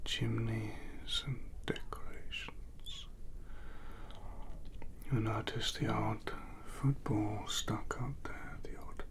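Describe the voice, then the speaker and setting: A man giving an ASMR guided sleep story in a soft, breathy, soothing hypnotic manner, lingering on repeated phrases. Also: fairly low pitch, steady tone, drawn-out words, long pauses.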